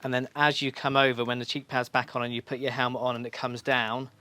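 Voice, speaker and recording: A man's voice: mid-range voice, flat delivery, quick pace, sharp tone, no room sound. A man giving an informal, practical step-by-step demonstration in a reassuring, instructional way. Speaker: motorcycle shop staff giving a fitting tutorial.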